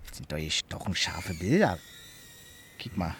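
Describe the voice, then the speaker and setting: A man boyishly proud of his gadgets. His voice is on the low side and he instructs in chatty, colloquial bursts, a natural radio play performance over clear background noise. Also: big pitch swings, moderate pace. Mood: proud, instructing.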